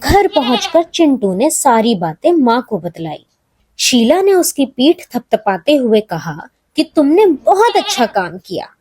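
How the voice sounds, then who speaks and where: A woman narrating a scripted children's story in an expressive, measured way. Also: high voice, breathy edge, no echo.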